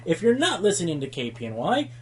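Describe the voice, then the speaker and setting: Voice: mid-range voice, wildly swooping pitch, fast talker. An actor playing a showy, easily exasperated pony who hosts a radio show in a radio play. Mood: upbeat, showy.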